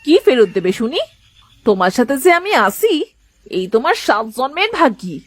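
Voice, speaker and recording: Woman acting a sharp-tongued, animated village wife. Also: a little high, moderate pace, no echo. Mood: scornful, scolding. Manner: sarcastic, scolding. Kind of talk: Bengali moral-story cartoon dubbing.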